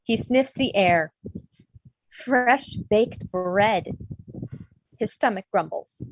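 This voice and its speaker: A woman's voice: medium pitch, expressive, extremely slow, lo-fi sound, slight background noise. A woman reading screenplay stage directions as scripted narration for a table read. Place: indoors, remote virtual table read.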